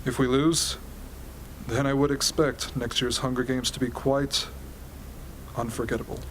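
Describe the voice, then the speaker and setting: A man's voice: mid-range voice, level tone, unhurried, slight background noise, natural voice, poor audio. A man playing a confident, persuasive political strategist in an amateur radio drama. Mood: assured, persuasive. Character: confident, smooth-talking male political strategist.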